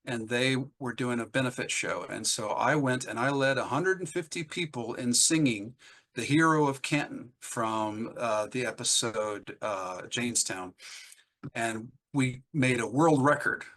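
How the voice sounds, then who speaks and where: Casting: middle-aged man, crisp forward tone, mid-range pitch, enthusiastic interview guest who rambles through anecdotes. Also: measured pace, a bit bright. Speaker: interview guest, singer-songwriter. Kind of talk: casual anecdotal chat.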